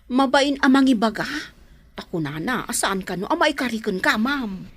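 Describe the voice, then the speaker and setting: A woman's voice: on the high side, lively intonation, moderate pace, husky. An actress playing a nosy, coaxing woman confidante in a Filipino radio drama. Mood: earnest.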